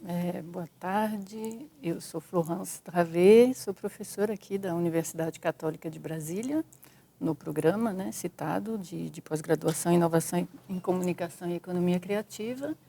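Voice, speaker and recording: A middle-aged woman from France, a native French speaker, talking as a guest professor in a halting way with restarts. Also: fairly low pitch, vocal fry, mellow. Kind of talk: casual interview talk.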